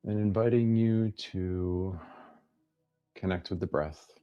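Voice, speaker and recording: A man leading a guided meditation as its teacher, speaking in gently inviting instructions with long pauses between phrases. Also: sits low, clear, resonant tone, phone-quality sound, faint music behind.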